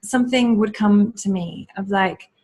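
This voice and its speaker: A woman guest on a video call, telling a personal story in an earnest, reflective, spiritual way with many pauses and fillers. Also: medium pitch, darkish tone. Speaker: guest, yoga teacher and school founder. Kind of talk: casual interview storytelling.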